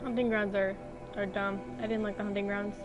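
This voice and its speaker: A woman streaming a video game, chatting casually and reacting loudly to the play, her voice hoarse and scratchy from illness. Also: medium pitch, flat delivery, fairly quick, over music. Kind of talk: casual gameplay commentary.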